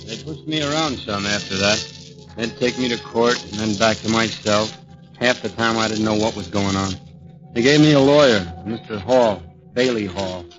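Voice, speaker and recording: A man's voice: fairly low pitch, moderate pace, lightly acted. An actor playing a bewildered, earnest young American man accused of murder, hesitant and plain-spoken, in 1940s radio drama. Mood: grim, resigned. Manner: hesitant, plain-spoken, stammering when pressed. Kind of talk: 1940s radio drama acting, with first-person narration. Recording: dull 1940s radio recording, music behind.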